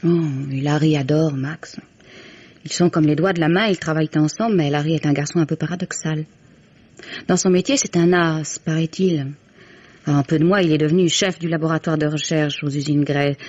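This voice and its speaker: An actress playing a composed, candid young mistress in 1950s French radio drama, with measured, formal diction. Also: female voice, deep voice, darkish tone, dry recording. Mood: fond, musing. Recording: dull, band-limited vintage radio recording.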